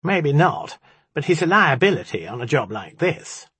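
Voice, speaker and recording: A man's voice: a little high, very animated, normal speed, sharp tone, husky, dry recording, voice pushed up, full character voice. A man voicing a one-eyed rat-spirit in a storybook, a sceptical and dismissive grumbler. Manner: challenging, dismissive.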